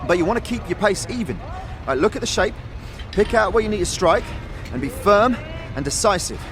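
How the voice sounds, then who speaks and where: A man's voice: high-pitched, expressive, a little fast, music in the background, pitched above the performer's own voice. A man voicing a friendly, easygoing teenage boy smith who talks in street slang in a fantasy audio drama. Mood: friendly, chatty. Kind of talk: audio drama character acting.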